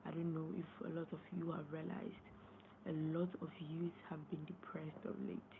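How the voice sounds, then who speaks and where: A young woman hosting a casual late-night live stream, hoarse and losing her voice, rambling and repeating herself as she clears her throat. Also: low voice, flat delivery, moderate pace. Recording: tinny phone-line sound, faint noise.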